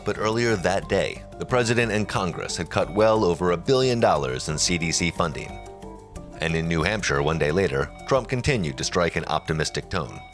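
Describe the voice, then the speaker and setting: A man's voice: low-pitched, expressive, fairly quick, music in the background. A man reading scripted narration for a news documentary.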